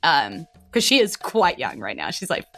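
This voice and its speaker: A woman's voice: deep voice, very animated, normal speed, gravelly, sharp tone, faint music behind. A woman with a New Zealand accent improvising narration as game master of a tabletop role-playing game.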